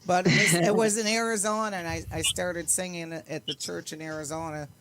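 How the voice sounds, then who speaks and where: A woman's voice: lowish voice, fairly even intonation, brisk, a crowd in the background. An older woman in casual chat, self-deprecating and often laughing as she talks. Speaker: guest, singer and entertainer.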